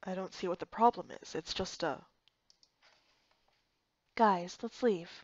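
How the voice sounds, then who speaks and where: A subdued young male guard from a fantasy game played by a woman talks in a lowered, quiet voice. The delivery is reluctant and understated, on a dry, slightly muffled home recording. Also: measured pace, dry recording. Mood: puzzled.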